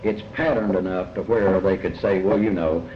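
A man with a Kentucky accent giving reflective, folksy interview answers as a novelist, halting and deliberate, often repeating his phrases. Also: low-pitched, steady tone. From Kentucky, United States. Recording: muffled, noisy, echoey old tape.

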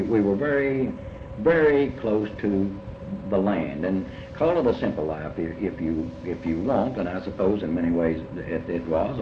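An older man speaking reflectively in an interview about his life, halting and often repeating words as he rephrases. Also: low-pitched. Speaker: interviewee recalling early life. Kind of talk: reflective oral history interview. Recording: muffled, noisy, echoey room recording.

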